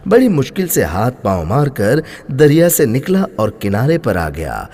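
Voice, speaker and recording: Husky male storyteller in heavy reverb. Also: mid-range voice, measured pace. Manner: expressive, emphatic storytelling. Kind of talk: dramatic scripted moral story narration. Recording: long hall-like reverb.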